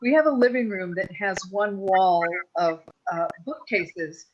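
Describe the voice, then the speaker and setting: A woman's voice: mid-range voice, expressive, a little slow, slight background noise. A woman in a casual panel Q&A, explaining as a sound effects performer and listing details as she goes. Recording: slightly dull, lo-fi audio.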